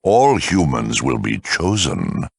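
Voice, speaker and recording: Gravelly, extremely deep mutant villain. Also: male voice, big pitch swings, no room sound, studio quality, full character voice. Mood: smug, zealous. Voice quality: gravelly, raspy. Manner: mock-courteous, sardonic, theatrical, deliberate. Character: cruel, urbane super mutant lieutenant, menacingly polite villain. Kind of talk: video game villain dialogue.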